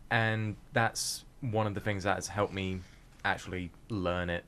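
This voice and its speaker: A man's voice: sits low, steady tone, unhurried, fairly bright tone, slight background noise. A young man, a drama student answering casually in an interview. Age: young adult.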